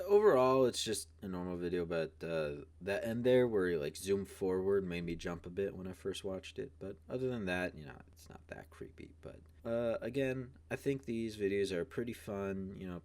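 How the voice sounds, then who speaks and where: A man hosting a video with casual commentary, talking in a rambling, informal, hedging way. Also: sits low. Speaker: video host.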